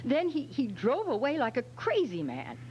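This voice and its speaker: Casting: female actor as flustered radio-drama ingenue suspect, halting, fairly high pitched, slightly noisy recording. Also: huge pitch swings, darkish tone. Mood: pleading, defensive. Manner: halting, protesting innocence. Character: flustered, pleading young woman suspect. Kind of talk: 1940s radio drama acting. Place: hotel function room stage, indoors.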